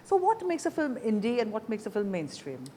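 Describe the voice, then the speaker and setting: A woman's voice: fairly high pitch, huge pitch swings, a little fast, warm tone, slight background noise. A woman hosting a conversational interview. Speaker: interview show host.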